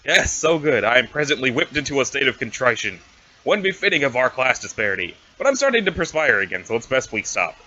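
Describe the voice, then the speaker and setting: A man acts out a pompous alien aristocrat in a comic drama reading. The delivery is stilted and haughty, with florid formal wording and a bright, forceful edge. The recording is slightly dull and homemade. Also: medium pitch, very animated, quick pace. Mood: contrite, formal. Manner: stilted, haughty, wordy diction.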